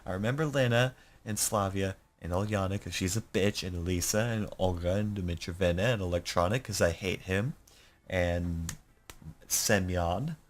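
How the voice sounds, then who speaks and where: A young man with a deep voice hosting a let's play, reading a visual novel aloud and rambling in self-deprecating asides. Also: normal speed.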